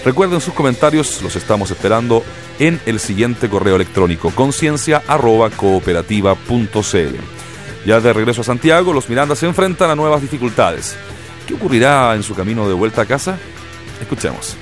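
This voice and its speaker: A man's voice: mid-range voice, expressive, a little fast. A man hosting a program with scripted radio narration. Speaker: program host. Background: faint guitar music.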